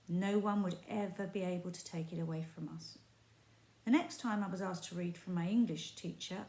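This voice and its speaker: A woman teacher reads a book aloud in an expressive, even storytelling manner. Her voice sits a little low, and the recording carries a noticeable room echo. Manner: steady, expressive storytelling read. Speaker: primary school teacher. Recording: roomy echo.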